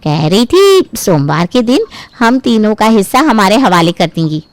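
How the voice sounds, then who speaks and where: A woman's voice: mid-range voice, big pitch swings, moderate pace, no room sound, lightly acted. A woman voicing a greedy, scheming younger sister-in-law in a family cartoon story, ingratiating and smug. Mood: gloating.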